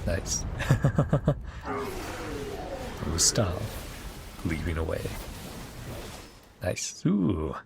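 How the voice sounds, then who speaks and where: A man's voice: low-pitched, sing-song, drawn-out words, creaky, long pauses, heavy background noise. A man streaming gameplay, chatting casually and playfully with muttered asides and short exclamations. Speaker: game streamer reacting to a story quest. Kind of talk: casual gameplay reaction and reading game text aloud.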